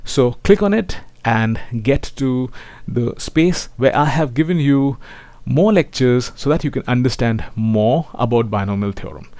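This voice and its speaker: A man's voice: medium pitch, animated, measured pace, a little mellow, no room sound. Male maths teacher, dry close sound. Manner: seeks agreement with frequent tag questions; addresses listeners as children. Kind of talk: online lecture to students.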